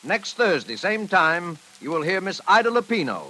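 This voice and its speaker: Bright, formal 1940s radio host, male. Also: sits high, big pitch swings. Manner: formal, measured. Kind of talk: 1940s radio announcing, scripted. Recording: vintage 1940s radio recording.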